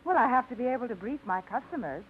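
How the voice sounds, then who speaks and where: A woman's voice: on the high side, animated, fast, a little hiss or hum, natural voice. An actress in her thirties playing a restless, wry single woman who runs a lending library, in a 1950s radio drama. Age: 30s. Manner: wry, spirited. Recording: tinny old radio broadcast.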